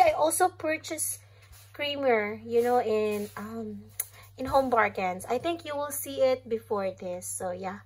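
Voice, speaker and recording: Chatty Filipino woman with a higher voice. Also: a little hiss or hum. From Philippines. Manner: chatty, talks to viewers as guys, often trails off softly. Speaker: vlogger. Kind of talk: casual grocery haul chat. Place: home kitchen, indoors.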